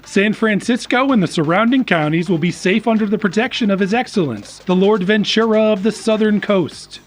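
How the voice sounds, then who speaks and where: High-pitched polished news anchor. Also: male voice. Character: polished, cheerful male news anchor in dystopia. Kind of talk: scripted news broadcast parody.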